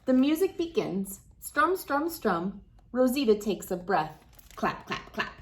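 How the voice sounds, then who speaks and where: A woman reading a children's story aloud as host, with dramatic, deliberate phrasing and clear emphasis. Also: fairly high pitch, very animated. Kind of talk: expressive picture-book read-aloud.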